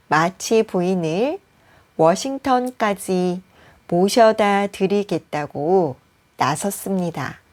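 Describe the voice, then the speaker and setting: Slow, deliberate female storybook narrator. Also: mid-range voice, darkish tone. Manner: deliberate, careful phrasing with frequent pauses. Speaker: Korean teacher reading a story. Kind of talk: bedtime storybook narration for learners.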